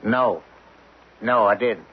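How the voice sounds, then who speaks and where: An actor in a 1970s radio drama, playing a reluctant, evasive oil rig worker who is terse, deferential and guarded. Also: male voice, fairly low pitch, slow, breathy edge. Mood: guarded, subdued. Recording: tinny narrowband 1970s radio recording.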